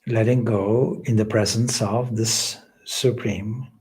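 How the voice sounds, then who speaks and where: A man leading a guided meditation as a spiritual teacher, speaking in measured, deliberate, solemn phrases with long pauses. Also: on the low side, fairly even intonation, a little mellow, poor audio.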